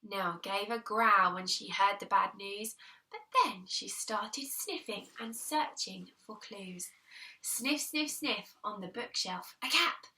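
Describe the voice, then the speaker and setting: A woman reading a children's picture book aloud in an expressive, deliberate storytelling manner, leaning on the rhymes. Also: on the high side, distant mic, rough recording. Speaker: primary school teacher reading aloud.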